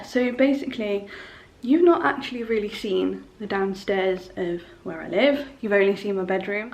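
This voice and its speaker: A woman's voice: mid-range voice, a little mellow, distant mic. A young woman from England with a Nottingham accent, chatting casually in a home vlog by a window, rambling with lots of fillers. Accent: Nottingham English. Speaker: vlogger.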